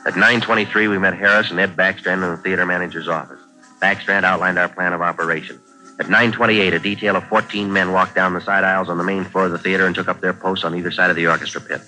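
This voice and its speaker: An American actor playing a terse, businesslike police sergeant who also narrates, in a 1940s radio crime drama. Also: male voice, low voice, flat delivery, fast talker, bright, forceful tone, faint music behind, poor audio. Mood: brisk, businesslike. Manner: clipped, businesslike. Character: terse, matter-of-fact male police sergeant detective. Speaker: police detective lead and narrator. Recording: vintage 1940s radio recording.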